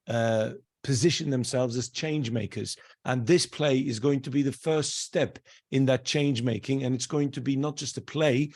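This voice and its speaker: A man from Turkey, a campaign worker announcing a project on a video call in quick, slightly broken phrases. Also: mid-range voice, a little mellow.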